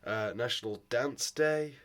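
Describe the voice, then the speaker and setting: A man with a sore, hoarse throat, rambling casually in a vlog, swearing and laughing often. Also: mid-range voice, measured pace, a bit bright. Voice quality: hoarse, voice cracking. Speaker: vlogger.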